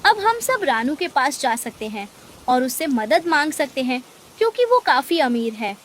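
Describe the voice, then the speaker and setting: Woman as a high-voiced, worried forest bird. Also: big pitch swings, normal speed, a bit bright, slight background noise. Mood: pleading. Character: worried, hungry forest bird seeking help. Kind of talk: animated children's moral story dialogue.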